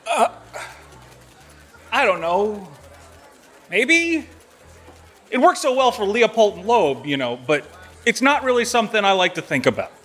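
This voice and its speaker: A man's voice: high-pitched, big pitch swings, unhurried, pauses often, fairly bright tone, heavily acted. A man playing a meek, squeamish young lawyer in an audio drama, hesitant and uneasy.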